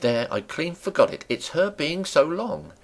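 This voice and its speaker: A man's voice: fairly high pitch, animated, unhurried, creaky, pitched above the performer's own voice, full character voice. Here is a man playing a fussy woman innkeeper from a classic storybook. The voice lifts and swoops, with a deferential, busy landlady manner. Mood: exasperated. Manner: polite to guest, grumbling about help. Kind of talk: dramatic audiobook character voice.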